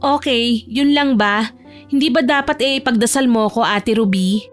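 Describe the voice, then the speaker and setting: Woman acting a sassy, high-voiced young heiress. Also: normal speed, soft background music, close to an everyday voice. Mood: sassy, grumbling. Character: bratty, stubborn young heiress daughter, sassy. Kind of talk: audio drama dialogue.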